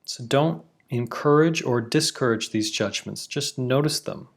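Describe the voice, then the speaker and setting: A man leading a guided meditation, giving slow, soothing instructions with long pauses. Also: mid-range voice. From Canada.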